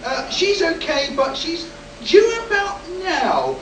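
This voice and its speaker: A man's voice: very high voice, huge pitch swings, takes it slowly, fairly bright tone, heavily acted. A man playing a cocky, wisecracking rocket test pilot in a vintage science-fiction radio play, with breezy, wry bravado. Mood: wry, reassuring. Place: convention hall, live stage performance. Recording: echoey hall, distant mic, noisy room.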